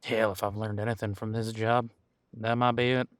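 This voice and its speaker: A man playing an easygoing veteran police officer in an audio roleplay, chatting casually and candidly with thoughtful pauses. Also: lowish voice, level tone, no echo, clean studio sound, lightly acted. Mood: reflective, frank. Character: easygoing veteran male supernatural police officer showing newcomer around. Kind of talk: audio roleplay acting, one-sided conversation.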